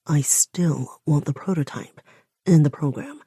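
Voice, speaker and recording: Woman doing a slick blackmailing villain, purring, half-whispered, low and smooth, audiobook. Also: animated, a little slow, warm tone, dry recording, clean studio sound, exaggerated voice. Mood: cold, dismissive. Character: slick menacing male blackmailer, smooth and insinuating.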